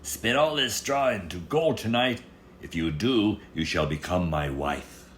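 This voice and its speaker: A greedy fairy-tale king, voiced by a man, imperious and never satisfied, handing down orders with broad swings of pitch. There is a little background noise. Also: expressive, measured pace, a bit bright, echoey room. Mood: demanding, threatening. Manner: commanding, imperious. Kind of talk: storybook character voice.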